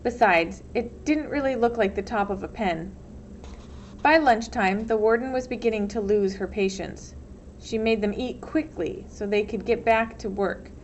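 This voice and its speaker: A woman's voice: a little high, moderate pace. A woman reading a storybook aloud as narrator, expressively, like a teacher. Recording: dull lo-fi sound, faint noise.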